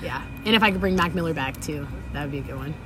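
Laid-back young woman, low voice, noisy. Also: very fast. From Sacramento, California. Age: young adult. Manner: laid-back slangy talk, frequent laughs and fillers. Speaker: DJ guest. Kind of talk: casual interview chat.

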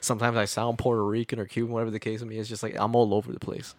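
A young man with a lowish voice talks in an easygoing podcast chat, often trailing off mid-thought. The recording is close and dry, with no room sound. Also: little pitch movement, racing, dry recording. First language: Spanish. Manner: laid-back, trailing off, says "man". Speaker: podcast co-host. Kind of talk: casual chat.

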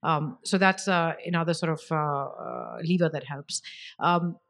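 A woman's voice: low-pitched, fairly even intonation, unhurried, a bit bright. A woman speaking as a business panelist, explaining things in a structured, point-by-point way. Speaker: panelist, app company executive.

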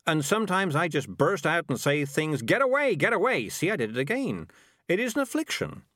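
A man's voice: a little high, sing-song, moderate pace, fairly bright tone, dry recording, clean studio sound, pitched above the performer's own voice. A man voicing a sly male talking cat in a storybook, wheedling and flustered as he bluffs. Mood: desperate bluffing.

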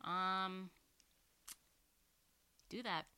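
A woman's voice: medium pitch, steady tone, extremely slow, pushed and bright, clear, resonant tone, dry recording. A woman hosting a podcast in casual chat, giggly and loose, trailing off with fillers. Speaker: podcast host.

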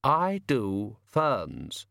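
A man's voice: lowish voice, wildly swooping pitch, very slow, vocal fry, pushed and bright, no room sound, studio quality, exaggerated voice. A man voicing a vain, fussy, icy male frost spirit in an audiobook, haughty and defensive. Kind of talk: audiobook character reading.

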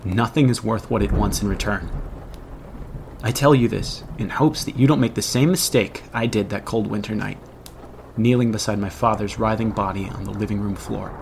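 Grave, gravelly haunted man an actor's role. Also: lowish voice, darkish tone, slight background noise. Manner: deliberate, solemn, ominous storytelling. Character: haunted grown man recounting a teenage horror, grave, regretful. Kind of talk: dramatic horror audio drama narration.

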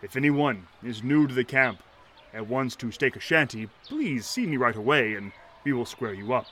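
An actor playing an earnest, pompous, kindly shantytown mayor with formal, florid speech in a 1930s audio drama. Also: male voice, medium pitch, animated, normal speed, pushed and bright, a little hiss or hum. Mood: rousing, solemn.

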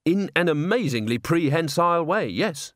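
Friendly young male tinkerer as a man plays him, casual and animated, closely recorded for an audiobook. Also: on the high side, moderate pace, dry recording, clean studio sound, voice pushed up. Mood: cheerful. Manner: casual, explanatory.

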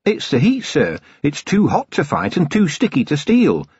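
A man's voice: on the high side, wildly swooping pitch, normal speed, a little mellow, husky, no echo, dull audio, pitched above the performer's own voice, exaggerated voice. A man voicing a cheerful, deferential female dwarf police sergeant, polite and eager, in an audiobook.

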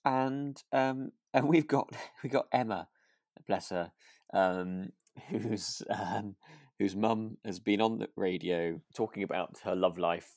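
A British man co-hosting a podcast in relaxed, casual chat, musing and often correcting himself as he goes. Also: lowish voice, slow, no room sound, clean studio sound. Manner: hesitant, musing, self-correcting. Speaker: podcast co-host. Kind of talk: relaxed casual chat about a radio drama.

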